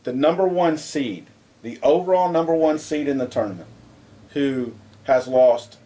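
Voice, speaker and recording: A man in the middle of the male pitch range, co-hosting a college sports podcast. He debates in a casual, rambling way. When something irks him, his voice climbs and gets louder. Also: moderate pace, poor audio. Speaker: co-host.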